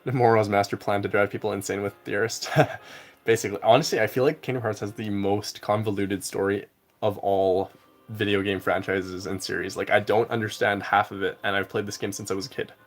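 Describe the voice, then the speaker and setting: A young man streaming a game, chatting casually with viewers in a relaxed, rambling way full of filler words. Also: on the low side, a little fast. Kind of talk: casual live-stream chat while gaming.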